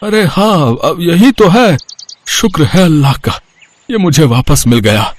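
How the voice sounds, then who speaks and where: A man voicing a kindly, pious old man in an animated moral story, speaking with halting, hesitant openings. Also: on the high side, a little hiss or hum. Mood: relieved. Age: older.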